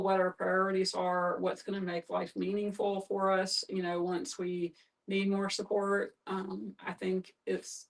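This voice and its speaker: A woman with a noticeable fry in her voice talks as a social worker in a group discussion. She explains things in a measured way. The microphone picks her up from some distance. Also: lowish voice.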